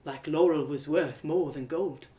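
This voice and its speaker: A woman's voice: sits low, a little slow, mellow. Woman acting a heartbroken young village lad, roomy echo. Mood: recollecting. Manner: colloquial rustic, confiding, hesitant. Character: heartbroken earnest young village man confiding in stranger. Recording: roomy echo of home live reading.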